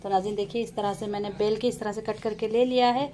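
A woman's voice: medium pitch, steady tone, quick pace, dark tone. A woman giving a casual step-by-step cooking narration, often addressing viewers directly and repeating her instructions. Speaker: home cook and vlogger presenting a recipe. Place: home kitchen. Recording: clear kitchen noise, clattering pots and sizzling. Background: pots clanking, frying and stirring.

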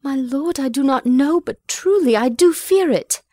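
A woman's voice: high-pitched, lively intonation, measured pace, dark tone, dry recording, studio quality. An actress playing a frightened, obedient young noblewoman, earnest and distressed, in a dramatic Shakespeare reading. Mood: anxious recounting.

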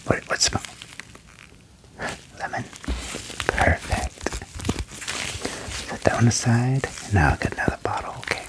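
A young man whispering gently into a close microphone in an ASMR medical roleplay, with short reassuring phrases. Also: medium pitch, lively intonation, a little slow, long pauses, rough recording. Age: young adult. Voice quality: whispered, breathy. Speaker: ASMR performer playing an alien doctor. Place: small wood-panelled room indoors. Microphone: close microphone with furry windscreen.

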